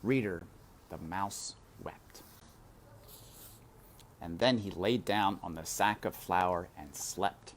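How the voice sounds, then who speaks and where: A man reading a children's story aloud in a dramatic, deliberate manner, addressing the listener directly. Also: sits low, a little hiss or hum. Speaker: read-aloud narrator. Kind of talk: expressive storybook read-aloud.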